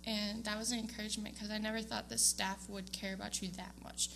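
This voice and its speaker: A woman's voice: mid-range voice, flat delivery, a little fast, gravelly, a bit bright, soft background music. A young woman giving a casual, hesitant speech to her church congregation, with frequent ums and small laughs. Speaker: student giving award speech. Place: church sanctuary.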